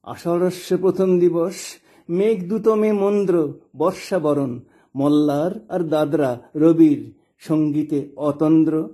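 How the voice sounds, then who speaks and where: A man recites poetry with a mellow, warm tone and a slightly high pitch. His delivery is expressive and rhythmic, shaped like a poet reading his own verse. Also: slow.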